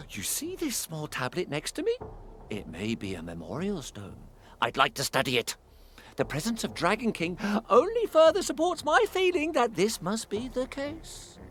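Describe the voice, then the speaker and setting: An actor playing a pedantic, excitable scholar of clan lore in a fantasy video game, theatrical and teasing. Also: male voice, high-pitched, moderate pace, slight background noise, heavily acted. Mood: eager, animated. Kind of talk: video game cutscene acting.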